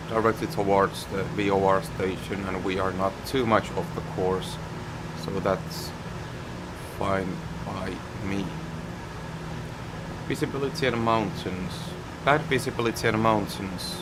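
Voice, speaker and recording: A man with a Finnish accent and a lowish voice comments live on a flight simulator. He speaks very slowly, in short halting phrases, as if working through each step aloud. A loud engine-like drone runs behind his voice. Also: heavy background noise. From Finland.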